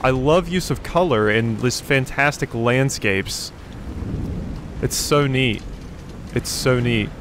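A man talks casually through a video game, thinking aloud. His voice is medium in pitch, with faint game ambience behind it. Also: slow, noisy background. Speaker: let's play commentator.

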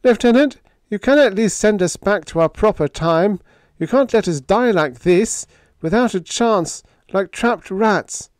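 A panicky male coward from a pulp adventure tale, performed by a male storyteller. The voice is very high, thin and bleating, and it whines with fright. It is recorded dry. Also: lively intonation, a little slow, dry recording. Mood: terrified pleading. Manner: whining, frightened. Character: cowardly, panicky man pleading for his life.

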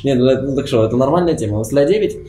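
Quick mellow man, earnest and halting. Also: mid-range voice, expressive, a little hiss or hum. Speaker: game player. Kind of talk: arguing in a mafia game. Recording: echoey room.

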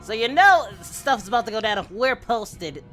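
Man doing an excitable cartoon hero, wildly swooping pitch. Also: normal speed, soft background music. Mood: amused, hyped. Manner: exclamatory, swings from muttering to yelling. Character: parody Goku, excitable goofy male cartoon hero. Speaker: reaction video host. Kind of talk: casual reaction commentary.

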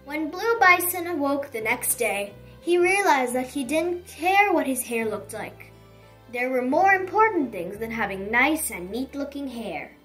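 A woman narrates a picture book for young children in a high, very animated voice. She takes her time, leaning on words expressively. The mic sounds a little distant and soft music plays behind her. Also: unhurried. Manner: expressive, emphatic storytelling. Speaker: children's storybook reader. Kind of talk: dramatic read-aloud narration for young children.

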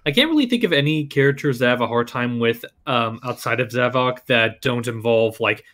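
A man voice actor talks in a medium-pitched voice. He is chatting casually as one of the panelists. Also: lively intonation, fairly quick, a bit bright. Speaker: voice actor on a fan dub panel. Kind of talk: casual online panel chat.

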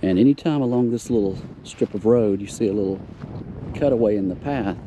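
A man, giving a relaxed, easygoing tour of roadside sights outdoors, recorded on a camera mic. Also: lowish voice, brisk, a little hiss or hum, poor audio. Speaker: travel vlogger. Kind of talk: casual tour narration.

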